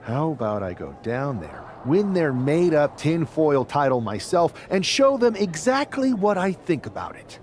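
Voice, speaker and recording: A man's voice: fairly high pitch, sing-song, unhurried, hardly any pauses, a little mellow, slight background noise. An actor playing a fearless, cocky male pro wrestler talking tough in a video game cutscene.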